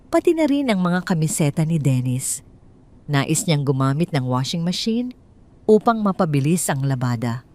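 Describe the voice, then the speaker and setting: A Filipino woman narrates a scripted audio drama story. Her voice sits low and has a soft, dark tone. She phrases each sentence in a measured, clear storytelling manner.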